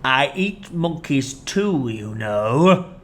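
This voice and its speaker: Casting: reader putting on a greedy boastful crocodile for a storybook, slow, gloating, lively rise and fall. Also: mid-range voice, a little hiss or hum. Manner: slow, gloating, drawn-out delivery.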